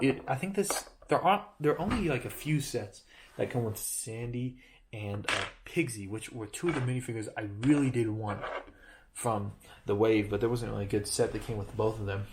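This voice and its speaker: A man's voice: medium pitch, noisy background. A man casually reviewing a toy building set he holds up to a camera, rambling, correcting himself and joking as he goes. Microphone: handheld camera close-up of toy.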